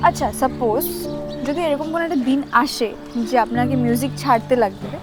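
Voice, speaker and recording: Actress as a high-voiced, bold young woman. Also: brisk, loud music behind the voice, lightly acted. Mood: curious, coaxing. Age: young adult. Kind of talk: acted dialogue in a Bengali short film.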